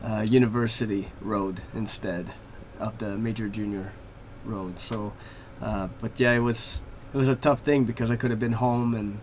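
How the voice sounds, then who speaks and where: Man, relaxed and rambling. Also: lowish voice, moderate pace, hardly any pauses, a little hiss or hum. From Laval, Quebec, Canada. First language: French. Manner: relaxed, rambling with many fillers. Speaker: hockey player guest. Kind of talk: radio interview.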